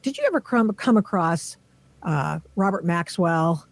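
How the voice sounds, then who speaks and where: A woman's voice: lowish voice, lively intonation, a little slow, no echo. Woman podcast host, dry close sound. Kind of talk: conversational interviewing.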